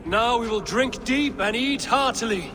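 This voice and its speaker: An actor playing a boastful warrior god in a video game, speaking formally and declaiming like a courteous guest. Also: male voice, extremely high pitch, expressive, measured pace, over music. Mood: bold. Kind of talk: video game character acting.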